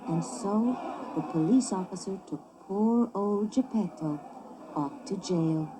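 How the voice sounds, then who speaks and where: A woman reading a children's story as scripted narration, with an expressive, theatrical delivery. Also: mid-range voice, takes it slowly, a little hiss or hum, rough recording.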